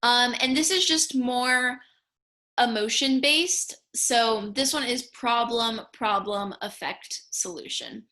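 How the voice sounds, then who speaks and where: A woman's voice: on the high side, slow pace, sharp tone. A young woman giving an informal slideshow lesson, explaining things in a casual, slightly tentative way. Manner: casual, tentative, hedging with "kinda" and "I guess". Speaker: speech and debate coach or student instructor.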